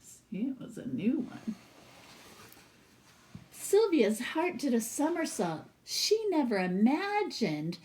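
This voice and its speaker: A woman's voice: a little high, sing-song, a little mellow. A woman who works as a teacher reads a children's story aloud expressively, with casual asides to explain the pictures. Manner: pauses to explain the pictures to listeners.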